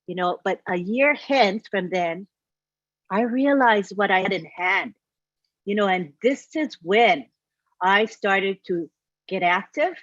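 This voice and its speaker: A woman's voice: mid-range voice, expressive, slow pace, a bit bright, dry recording, highs cut off. A woman in her sixties, from Hawaii, telling her life story as a guest on a live stream in a chatty way. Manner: chatty storytelling, frequent "you know". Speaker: guest speaker. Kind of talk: personal testimonial on a live stream.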